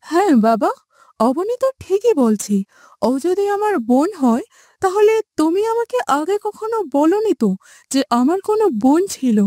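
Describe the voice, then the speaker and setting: A woman's voice: very high-pitched, very animated, normal speed, warm tone, no echo, studio quality. A woman voicing a haughty young princess in a fairytale cartoon, disdainful and emphatic. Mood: indignant questioning. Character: haughty, scheming young princess, scornful of the poor. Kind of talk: melodramatic fairytale cartoon acting.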